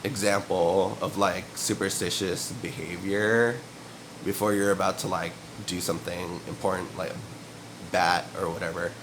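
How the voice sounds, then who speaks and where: A man's voice: low-pitched, fairly even intonation, normal speed, noisy background. Sniffly young man rambling to camera. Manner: loose and meandering, filler-heavy, frequent throat clearing and chuckles. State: sniffly with a cold and cough. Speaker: vlogger. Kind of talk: rambling casual monologue to camera. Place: room at home. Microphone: camera mic.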